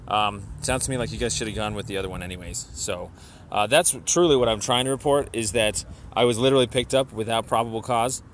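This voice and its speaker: A man leaving a complaint voicemail, explaining his argument insistently and haltingly, often repeating himself. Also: medium pitch, a little hiss or hum.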